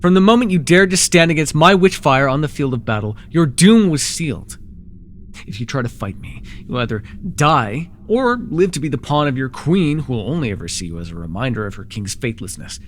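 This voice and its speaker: A man acting a smug, seductive and menacing sorcerer ruler in a fantasy ASMR roleplay, with a theatrical, teasing, deliberate delivery. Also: medium pitch, very animated, a little hiss or hum, close to an everyday voice. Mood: furious, threatening. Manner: theatrical, teasing, deliberate, with murmured asides and sudden force.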